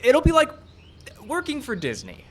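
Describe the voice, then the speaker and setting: A man playing a grandiose, shifty low-budget movie director, eager and wheedling, in a radio comedy. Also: huge pitch swings, normal speed, fairly bright tone, full character voice. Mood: evasive wheedling. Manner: enthusiastic, evasive, wheedling. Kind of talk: live radio comedy acting. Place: live show in a park. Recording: live show with faint background noise.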